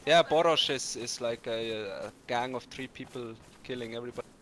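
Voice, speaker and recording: A man from Switzerland with a Swiss accent, chatting casually in slightly halting non-native English during an online game. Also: medium pitch, fairly bright tone. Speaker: video game player. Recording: faint noise over game voice chat.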